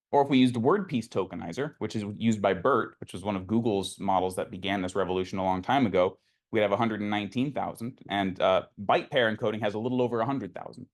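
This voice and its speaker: A man giving an informal academic lecture, explaining in a conversational way and thinking aloud as he takes questions. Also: on the low side. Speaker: lecturer presenting a technical talk.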